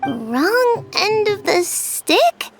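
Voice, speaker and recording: A woman's voice: very high-pitched, very animated, drawn-out words, full character voice. A woman voicing an earnest, anxious little village child in a video game, with a light, childlike voice. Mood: dismayed. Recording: music behind the voice.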